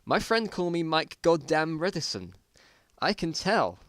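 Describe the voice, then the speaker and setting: A man voicing a brash, excitable zombie-apocalypse survivor in an amateur story reading, blunt and exclamatory. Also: fairly high pitch, a little slow, dry recording, studio quality, voice pushed up. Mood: exasperated.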